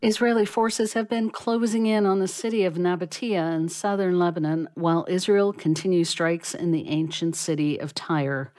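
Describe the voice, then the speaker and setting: A woman correspondent files a news report in an even reporting tone. Her voice is very low for a woman. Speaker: foreign correspondent.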